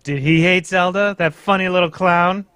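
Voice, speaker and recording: A man's voice: high voice, steady tone, moderate pace, a bit bright, no echo. A man reading a pompous, florid old-fashioned actor in a live old-time radio table read. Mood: grandiose. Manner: florid, theatrical, says "me dear".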